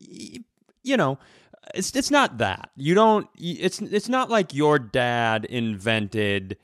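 A man's voice: mid-range voice, sing-song, slow pace, a bit bright, no room sound, clean studio sound. A man from Minnesota with an American accent, co-hosting a comedy podcast in casual, rambling, digressive chat. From Minnesota, United States.

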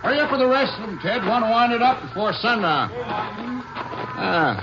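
An actor playing an outwardly devoted, anxious rancher husband, folksy and solicitous, in a 1950s radio western. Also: male voice, sits high, lively intonation, moderate pace. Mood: busy, folksy. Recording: muffled, noisy 1950s radio broadcast.

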